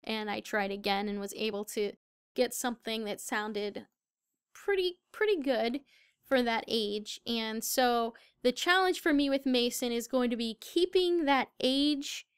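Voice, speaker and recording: A woman's voice: on the high side, clean tone, dry recording, studio quality. A very young-sounding woman with an American accent, answering interview questions as a voice actor. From Southern United States.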